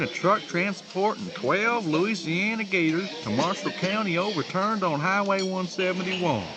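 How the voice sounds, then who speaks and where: An actor playing a jealous, sarcastic small-town diner regular in a comic audio drama. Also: male voice, sits high. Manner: peevish, sarcastic. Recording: busy diner crowd behind.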